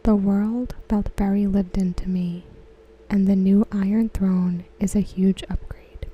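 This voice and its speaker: A woman reading aloud in a soft, breathy, near-whispered ASMR style, gentle and measured, close to the microphone. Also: medium pitch, little pitch movement, dull audio. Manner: gentle, hushed, measured delivery. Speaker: ASMR host. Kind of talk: softly spoken ASMR read-aloud of forum comments.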